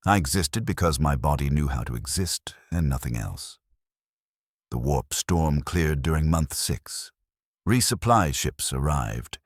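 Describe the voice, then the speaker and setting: A man narrates a scripted first-person drama in a profoundly deep voice. His delivery is solemn and detached, in short clipped statements, yet the pitch moves around in a lively way. The sound is close and dry, free of room. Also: breathy edge, no echo.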